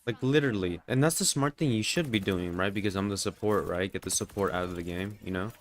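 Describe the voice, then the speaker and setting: A man's voice: deep voice, fast. A man commentating his own live game stream in a rambling, profane way, with a congested voice. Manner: profane, rambling, full of "like" and "you know". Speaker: livestreamer. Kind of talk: casual live gameplay commentary.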